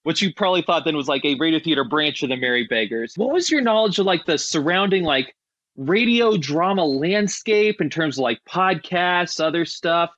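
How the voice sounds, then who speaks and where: Higher-pitched man hosting, dry dull audio. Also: lively intonation, moderate pace, fairly bright tone, no echo. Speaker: podcast host. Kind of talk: casual conversational interviewing.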